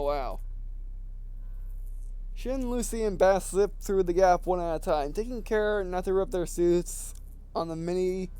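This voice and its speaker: A man reading game text aloud and commenting casually as he plays, halting and stumbling over words, with some background noise. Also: high voice, mellow, clean tone. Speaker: gameplay video host.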